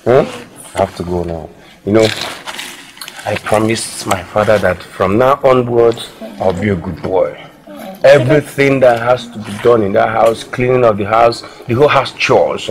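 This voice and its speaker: A man's voice: mid-range voice, natural voice, rough recording. An actor playing a young village man who teases his girlfriend and promises riches from abroad, with a playful, laughing manner. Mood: promising. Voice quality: playful, teasing, laughs often. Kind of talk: film acting.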